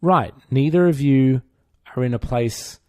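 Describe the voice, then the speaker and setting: A man's voice: mid-range voice, unhurried, mellow, no echo, clean studio sound. A man co-hosting a podcast, chatting informally in an opinionated, geeky, self-mocking way. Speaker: podcast co-host. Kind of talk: casual pop-culture chat.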